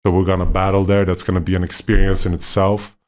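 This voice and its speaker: Male podcast co-host from Poland, lowish voice, relaxed and rambling, upbeat. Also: fast. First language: not Polish; left Poland at five. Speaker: podcast co-host, former basketball player. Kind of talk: casual podcast conversation.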